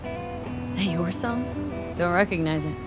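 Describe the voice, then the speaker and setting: A woman's voice: on the low side, very animated, takes it slowly. A woman playing a cool, guarded young street mercenary in a video game, terse, wry and matter-of-fact. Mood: wry, inquisitive. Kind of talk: video game dialogue, understated acting. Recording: game music and guitar behind voice.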